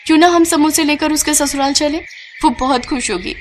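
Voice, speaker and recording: Rich young friend voiced by a woman, highish, chatty, emphatic, caring, cartoon moral tale, slight background noise. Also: fairly quick, natural voice. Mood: concerned. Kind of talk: Hindi cartoon moral story voice acting.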